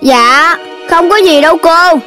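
A performer voicing an excitable, greedy young animal child in a children's cartoon, whiny and exclamatory, with a Southern Vietnamese accent. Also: extremely high pitch, a little slow, faint music behind, heavily acted. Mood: sheepish.

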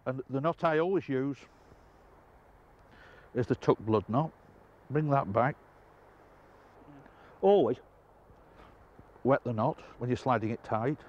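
A man's voice: mid-range voice, slow pace, pauses often, highs cut off. Older man explaining fly fishing outdoors. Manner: calm, explanatory, enthusiastic about the fish. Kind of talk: outdoor instructional presenting. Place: outdoors on a river bank.

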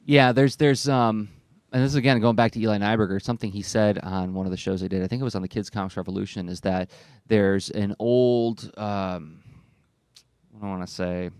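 A man's voice: lowish voice, steady tone, fairly quick, a little mellow, smooth, dry recording. A man, hosting a conversational podcast discussion into a close studio microphone. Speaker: podcast host. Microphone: close studio microphone on an arm, with headphones.

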